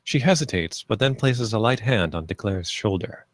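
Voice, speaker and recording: Guy w a low-ish voice reading script stage directions, plain n measured, dry sound. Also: lively intonation, no echo. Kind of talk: scripted narration at a screenplay table read.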